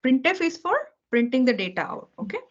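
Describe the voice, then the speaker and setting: A woman's voice: high-pitched, big pitch swings, fairly quick. A woman teaching a beginner programming lesson over a video call, patient and repetitive, checking understanding after almost every sentence. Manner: patient, repeats key points, checks understanding with okay and right. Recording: dull lo-fi call audio.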